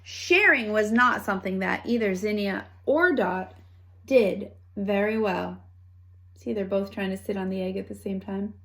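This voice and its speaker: A woman reading a children's story aloud with expressive narration and dramatic, deliberate phrasing. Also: medium pitch. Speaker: storybook reader.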